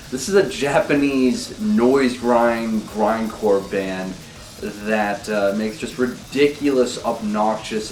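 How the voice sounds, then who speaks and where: Young man, sick with a sore throat, laid-back rambling record talk; low voice, distant roomy camera audio. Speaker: vinyl collection vlogger. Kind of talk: casual record-collection talk. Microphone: camera mic. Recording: roomy, distant camera mic, faint noise.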